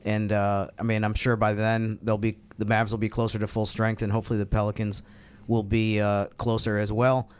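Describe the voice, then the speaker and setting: A man's voice: on the low side, monotone, fairly quick, few pauses, clean tone. A man from New York talking casually at a sports roundtable, with a wry, self-deprecating way of telling things. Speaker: podcast co-host and sports analyst.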